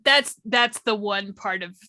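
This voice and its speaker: A woman's voice: mid-range voice, unhurried, sharp tone, no echo. A woman co-hosting a casual tabletop gaming chat in a playful, emphatic, bantering way. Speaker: workshop co-host.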